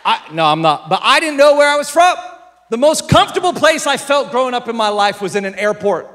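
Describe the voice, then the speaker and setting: A middle-aged man with an American accent, raised in the Philippines, preaching a sermon with jokes and sudden loud bursts. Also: extremely high pitch, fairly quick. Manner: animated, jokey, bursts into shouts. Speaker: pastor. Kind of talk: sermon with comic storytelling.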